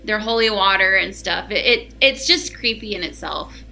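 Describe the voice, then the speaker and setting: A woman guest who grew up between the US and Argentina talks in a loose, chatty way. Her voice is bright and forward, and her answers run with fillers and easy laughter. Faint music plays behind her. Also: medium pitch, moderate pace, creaky. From United States and Argentina. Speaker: guest, paranormal investigator. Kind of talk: casual interview chat.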